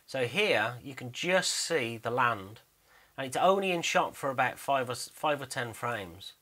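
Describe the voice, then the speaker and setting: Bright-voiced man talking through his work. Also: medium pitch, lively intonation, unhurried. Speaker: 3D animator narrating behind-the-scenes work. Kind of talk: casual unscripted screen-capture commentary.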